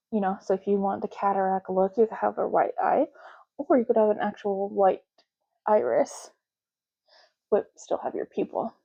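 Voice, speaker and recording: A woman doing a soft-spoken ASMR roleplay as a clerk, explaining options in a casual, chatty way. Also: medium pitch, gravelly, husky, muffled recording. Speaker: ASMR creator playing a clerk making ID cards.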